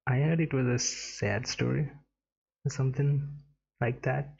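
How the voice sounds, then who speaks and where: Creaky, unhurried gamer in casual reaction. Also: male voice, mid-range voice, fairly even intonation, a little mellow. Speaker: gamer reacting to a story quest. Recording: dull lo-fi mic, game music behind.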